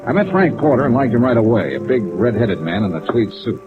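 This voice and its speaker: A man performs a hard-boiled insurance investigator from 1950s radio. His delivery is terse and deadpan, yet the pitch moves a lot. The old recording is muffled, with music clearly playing behind him. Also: fairly quick, natural voice. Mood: matter-of-fact narration. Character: tough, laconic male insurance investigator narrating his case. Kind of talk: 1950s radio detective drama, first-person narration.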